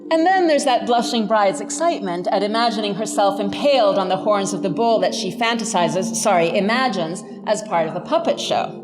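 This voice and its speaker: A woman's voice: medium pitch, a little fast, hardly any pauses. A woman giving a scripted academic lecture as a professor, with a wry, emphatic manner. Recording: reverberant hall, music underneath.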